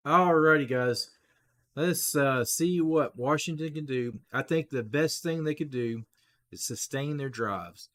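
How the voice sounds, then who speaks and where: Guy doing live football play-by-play for his stream, kinda high voice, rough and scratchy, chatting with viewers, gets loud on big plays. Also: measured pace. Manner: chatty, talks straight to viewers, rises excitedly on big plays. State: rough voice, clearing his throat. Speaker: livestream play-by-play host. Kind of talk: casual live play-by-play commentary.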